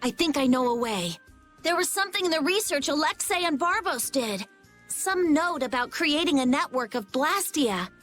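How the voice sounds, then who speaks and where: A woman voicing a brisk, bossy, easily flustered young girl in an English anime game dub. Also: high voice, animated, fairly bright tone, soft background music.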